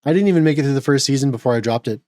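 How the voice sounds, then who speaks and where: Man getting over a cold, a little gravelly. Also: medium pitch, very fast, warm tone, dry recording, studio quality. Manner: opinionated, rambling with repeated restarts. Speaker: podcast host. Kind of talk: casual podcast chat.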